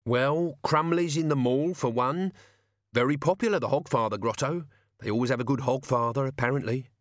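A man's voice: medium pitch, moderate pace, dry recording, studio quality, voice pushed up, natural voice. A man voicing a wry old manservant in a storybook reading, deferential but colloquial and coaxing. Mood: awkward.

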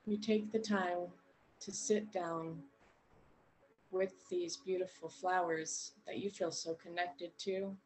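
A woman guiding a meditation in a gentle, unhurried way, leaving long pauses between short phrases. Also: lowish voice, steady tone, poor audio. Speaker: meditation guide. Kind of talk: guided meditation narration.

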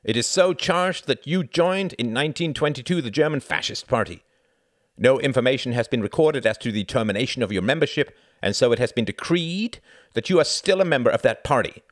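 A man's voice: mid-range voice, expressive, brisk, dry recording, studio quality, pitched above the performer's own voice, natural voice. A man voicing a brutal, bullying Nazi officer in an audiobook, barking harshly and impatiently. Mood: commanding, menacing. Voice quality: harsh, grating. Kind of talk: audiobook character acting.

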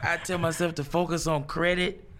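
A man in his thirties, a songwriter talking as a guest in a casual interview. He speaks reflectively and haltingly, often restarting his sentences. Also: a little high, flat delivery, fairly bright tone. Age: 30s.

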